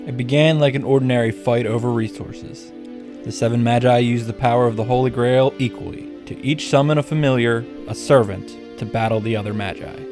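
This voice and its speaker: Wry, profane male game recapper. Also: medium pitch. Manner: wry, irreverent, swears for comic effect. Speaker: video game summary host. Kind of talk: sarcastic story recap with asides.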